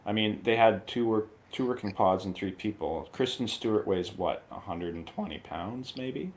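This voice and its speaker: Male review-show guest, slightly low voice, irreverent and colloquial, informal joking film talk. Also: expressive, normal speed. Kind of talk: casual movie review chat.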